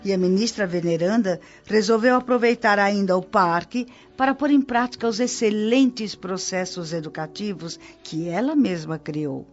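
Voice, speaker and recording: A woman plays a patient elderly nurse who guides newcomers, with a Brazilian accent. Her medium-pitched voice is instructive and unhurried, in radio drama style. Gentle music runs under slightly dull audio. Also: close to an everyday voice. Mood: patient, explaining. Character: observant, patient old nurse, female spirit guide.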